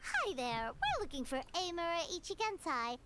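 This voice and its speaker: Squeaky childlike fairy companion, noisy audio. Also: female voice, huge pitch swings, normal speed, full character voice. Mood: chirpy. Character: Paimon, tiny bubbly female fairy companion, childlike and outspoken. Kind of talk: video game voice acting.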